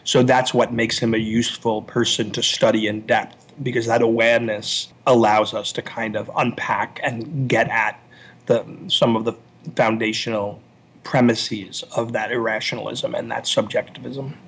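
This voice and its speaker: A man with a husky, medium-pitched voice talks as an interview guest. He explains like a scholar, analytical and somewhat halting, doubling back on words as he builds each point. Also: flat delivery, a bit bright. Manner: analytical, halting with repeated word starts.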